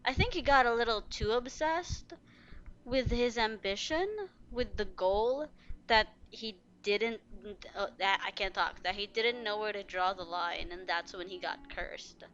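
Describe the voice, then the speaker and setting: A woman's voice: mid-range voice, unhurried, a bit bright, lo-fi sound. A woman giving casual reaction commentary as she streams a game. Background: game music.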